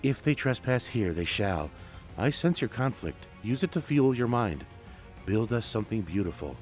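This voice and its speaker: A man's voice: fairly low pitch, big pitch swings, normal speed, darkish tone, faint music behind. Man playing coaxing, scheming female guard captain. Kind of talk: comic book story retelling.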